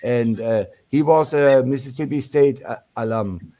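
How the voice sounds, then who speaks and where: An older German man calling in to a sports show, speaking English with a German accent in halting, restarting phrases. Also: mid-range voice, mellow. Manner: halting, many restarts and fillers. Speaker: caller. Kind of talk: casual call-in chat.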